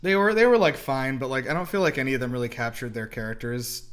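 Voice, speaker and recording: A man's voice: mid-range voice, fast talker, clear, resonant tone. A man chatting casually as a host reviewing films, in a rambling, hedging way that often restarts. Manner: rambling, hedging, many self-interruptions. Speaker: movie reaction host. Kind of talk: casual film review chat.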